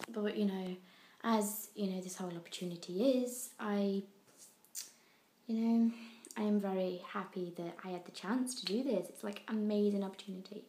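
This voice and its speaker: A young woman from the UK with a croaky voice, rambling through a casual video diary with lots of fillers. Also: mid-range voice, mellow, slight background noise. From United Kingdom. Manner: rambling, chatty, many fillers and trailing asides. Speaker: vlogger. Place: indoors, abroad in Italy. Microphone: handheld phone camera.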